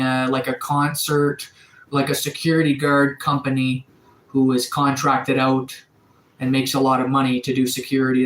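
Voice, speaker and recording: A man's voice: medium pitch, reverberant. Laid-back man in his thirties rambling. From Atlantic Canada. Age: 30s. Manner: relaxed, rambling, many fillers and restarts. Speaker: livestreamer. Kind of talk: casual live-stream chat reading viewer comments. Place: sunroom.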